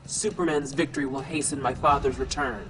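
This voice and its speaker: Ominous, cold sorceress. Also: female voice, extremely low pitch, fairly even intonation, brisk, low-quality recording. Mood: menacing. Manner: solemn, menacing. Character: ominous female sorceress, coldly devoted to her demon father. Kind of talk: dramatic video game cutscene acting. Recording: music behind the voice.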